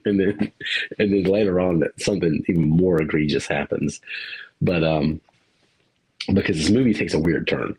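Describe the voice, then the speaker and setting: A man's voice: low-pitched, moderate pace, long pauses, a little mellow, breathy edge, rough recording. A man co-hosting a podcast, rambling through a movie review in casual chat, laughing and swearing now and then. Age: adult parent. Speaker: podcast co-host.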